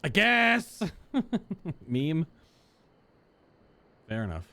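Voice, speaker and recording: A man's voice: on the high side, huge pitch swings, drawn-out words, sharp tone. A man giving casual live reactions as he plays a game, laconic, often murmuring short interjections.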